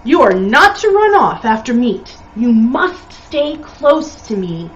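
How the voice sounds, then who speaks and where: A woman voicing a young male storybook polar bear, caring, cautious and earnest, gently giving instructions. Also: high-pitched, huge pitch swings, unhurried, highs cut off, slight background noise, voice pushed up, heavily acted. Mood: stern.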